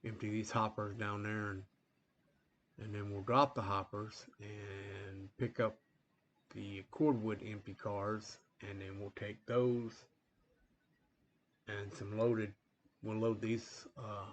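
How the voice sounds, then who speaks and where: Low, flat-voiced man, sniffly. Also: slow pace, pauses often, slight background noise. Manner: rambling, relaxed, many fillers and restarts. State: sniffly, cold coming on. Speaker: gaming channel host. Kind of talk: casual gameplay commentary.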